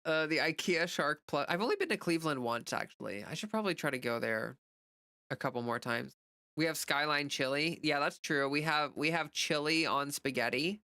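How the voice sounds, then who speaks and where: A woman's voice: low-pitched, moderate pace, bright, forceful tone, dry recording, studio quality. An American woman livestreamer chatting casually and off the cuff, rambling informally as she answers viewer comments. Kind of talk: casual unscripted chat.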